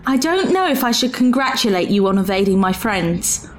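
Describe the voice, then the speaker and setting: A sharp, proud woman time traveller in an audio drama. Her fairly high voice is formal and challenging, full of distrust, with soft music behind. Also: very animated, moderate pace. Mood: cool, demanding.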